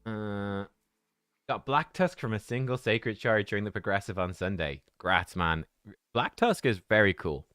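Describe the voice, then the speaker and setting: A man chatting casually on a live game stream, relaxed and thinking out loud as he plays. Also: fairly low pitch, unhurried, no echo, clean studio sound, soft background music.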